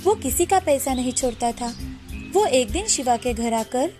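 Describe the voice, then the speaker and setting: Female narrator for children's moral stories, high voice, clear music bed. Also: animated, moderate pace, warm tone. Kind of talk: scripted storytelling narration of children's moral tales.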